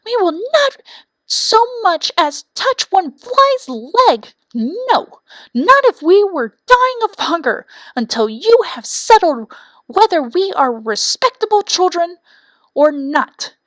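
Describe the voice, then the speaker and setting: Shrill, indignant baby wrens. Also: female voice, sing-song, takes it slowly, no room sound, exaggerated voice, rough recording. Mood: stubborn indignation. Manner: screaming protests, stubborn and demanding. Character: shrill, indignant young wren chicks. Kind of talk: storybook character voice.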